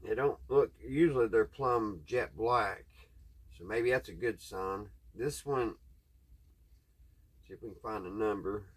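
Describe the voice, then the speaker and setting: Low-voiced folksy man. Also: a little slow, slightly rough. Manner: folksy, thinking aloud while working. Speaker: hobbyist radio repairer, video host. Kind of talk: casual unscripted hands-on repair vlog. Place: indoors at a workbench.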